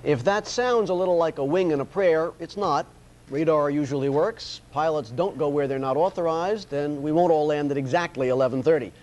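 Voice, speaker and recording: An American man narrating a television news feature as a reporter, in a measured, wry storytelling style. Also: on the high side, darkish tone, dull audio.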